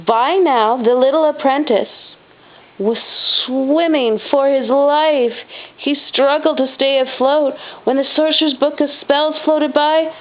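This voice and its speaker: A woman reading a children's storybook aloud as a mother does at home, with expressive stress on the dramatic words. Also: high voice, a little slow. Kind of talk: storybook read-aloud narration. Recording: dull, lo-fi home recording.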